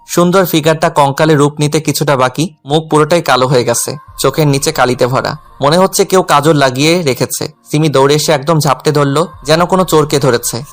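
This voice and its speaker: A man's voice: on the high side, lightly acted. A man playing a lovelorn, self-effacing young tutor who narrates his own romantic story. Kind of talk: scripted first-person romantic story narration with dialogue.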